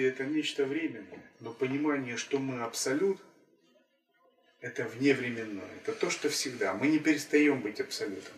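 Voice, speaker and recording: A man giving a calm, measured spiritual talk as a teacher, repeating key phrases. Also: medium pitch. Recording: roomy, distant from the mic.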